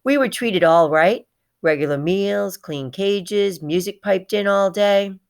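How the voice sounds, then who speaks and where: A woman's voice: medium pitch, slow pace, creaky. A woman voicing a small, proud, heartbroken male pet hamster in a dramatic children's storybook read-aloud, repeating words for emphasis. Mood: wistful, eager.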